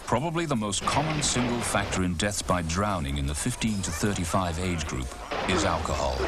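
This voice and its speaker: A man's voice: sits low, lively intonation, moderate pace. A man reading sober, scripted public information narration as a voice-over. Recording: loud music over old VHS audio.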